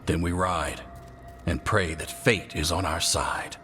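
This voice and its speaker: Deep, commanding male scout leader. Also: fairly even intonation, slow pace, pushed and bright, soft background music, heavily acted. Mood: grave, resolute. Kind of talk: fantasy game voice acting.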